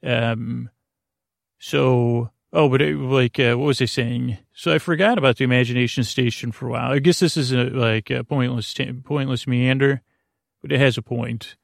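A man hosting a bedtime podcast, meandering and halting as he interrupts himself and drifts through a rambling monologue with a creaky voice. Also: medium pitch, fairly even intonation, no room sound, studio quality. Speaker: podcast host.

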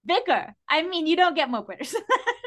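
A woman's voice: extremely high pitch, big pitch swings, brisk, fairly bright tone. A woman speaking as a podcast guest, chatting casually and giving her opinions freely.

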